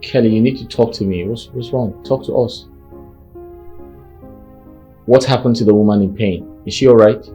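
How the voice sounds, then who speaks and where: Mellow man, an actor, calm and concerned. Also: fairly low pitch, normal speed, pauses often, faint music behind, natural voice. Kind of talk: low-key film drama dialogue.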